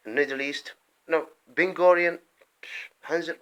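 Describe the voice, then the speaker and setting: A man delivering an impassioned, rambling political monologue, breaking off mid-sentence and swinging between loud outbursts and muttered asides. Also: a little high, steady tone, slow. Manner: rambling, emphatic, often breaks off and restarts. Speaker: vlogger.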